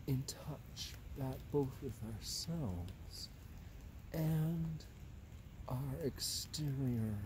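A man in his fifties talking slowly and musingly while doing yoga in a forest, slightly out of breath, recorded on a phone camera set on the ground. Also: mid-range voice, background noise. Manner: slow, musing, drawn-out phrases with sighs and soft laughs. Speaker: yoga instructor. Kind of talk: meandering vlog talk while doing yoga. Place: outdoors in a forest.

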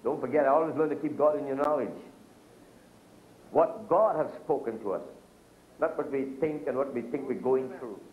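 A man's voice: mid-range voice, moderate pace. A middle-aged man preaching a sermon at a pulpit, gesturing and calling out to the congregation. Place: church hall with curtains, speaking at a pulpit. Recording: echoey church room, dull lo-fi video sound.

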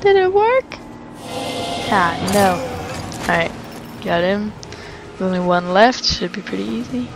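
A woman's voice: mid-range voice, very animated, measured pace, vocal fry, long pauses, noisy background. A young woman casually commenting while she plays a video game, chatty and rambling with frequent laughs. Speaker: let's play host. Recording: commentary over game sounds.

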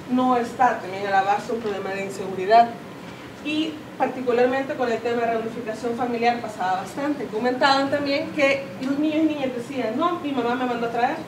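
Higher-voiced woman presenting in a room. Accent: Salvadoran Spanish. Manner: explanatory, self-correcting, many fillers. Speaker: conference speaker. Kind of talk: presenting data at a seminar. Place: conference room with audience. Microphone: far camera, no mic seen. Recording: roomy, distant camera audio with background noise.

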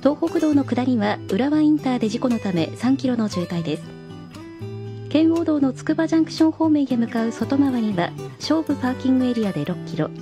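Higher-pitched woman reading traffic bulletin. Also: animated, a little fast. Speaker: traffic reporter. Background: guitar music.

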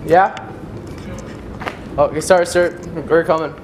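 A man's voice: a little high, fairly even intonation, slow, noisy background. A young man filming a casual prank vlog on a handheld phone, joking and rambling with restarts. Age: young adult. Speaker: vlogger. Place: furniture store, then gym.

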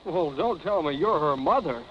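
An actor playing a cocky, self-admiring prize fighter in a 1940s film drama. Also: male voice, fairly high pitch, lively intonation, moderate pace, close to an everyday voice. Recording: dull, noisy 1940s film soundtrack.

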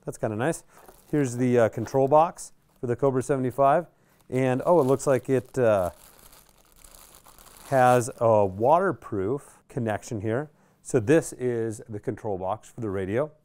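A middle-aged man with an American accent and a mid-pitched voice gives casual product commentary. He is laid-back and conversational, talking through his thoughts as he handles things at a table. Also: unhurried, darkish tone. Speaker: product reviewer and presenter. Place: indoor radio workshop.

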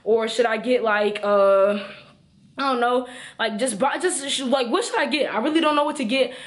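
A man's voice: extremely high pitch, normal speed, a bit bright. A teenage boy with an American accent, chatting casually and slangily to the camera as a vlogger. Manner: slangy, informal. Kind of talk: casual vlog chat to camera. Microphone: camera at arm's length.

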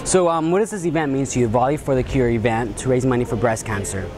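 A young man working as a student interviewer, asking upbeat, set questions after a game in a high school gym. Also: quick pace, over music. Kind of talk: postgame interviews. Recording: noisy gym with crowd.

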